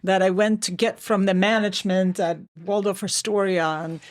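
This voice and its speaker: A woman's voice: mid-range voice, fairly even intonation, moderate pace. A woman from Sweden with a Swedish accent, a singer and vocal coach chatting as a guest with frequent restarts. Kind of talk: casual interview chat.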